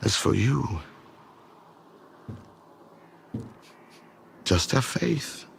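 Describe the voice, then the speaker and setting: Brooding fanatical faction leader, gravelly, wildly swooping pitch, theatrical pauses, video game drama. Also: male voice, expressive, a little hiss or hum, full character voice. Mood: solemn, fervent.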